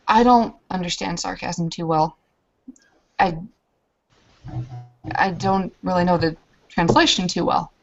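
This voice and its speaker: A woman voicing an earnest, humble kinfolk woman in a tabletop roleplaying game, speaking formally and hesitantly, with careful halting phrasing. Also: on the low side, muffled recording. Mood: earnest warning. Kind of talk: improvised in-character roleplay.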